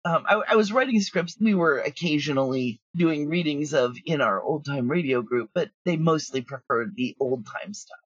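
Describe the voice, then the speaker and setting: Dull remote recording, woman, husky, dry room, casual interview talk. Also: deep voice, animated, moderate pace, few pauses, no echo. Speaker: audio drama writer and producer, interview guest.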